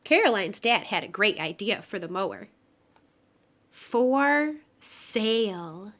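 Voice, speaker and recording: A woman's voice: mid-range voice, big pitch swings, slow pace, a bit bright. A woman reading a children's picture book aloud expressively, talking directly to young listeners. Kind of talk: expressive children's storybook read-aloud with asides.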